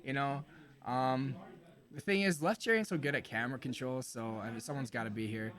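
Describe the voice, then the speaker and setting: Slightly hoarse man casting an esports stream. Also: medium pitch, fairly quick, a bit bright. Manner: informal, joking banter with viewers and co-hosts. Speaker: esports stream host and commentator. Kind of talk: casual live game commentary.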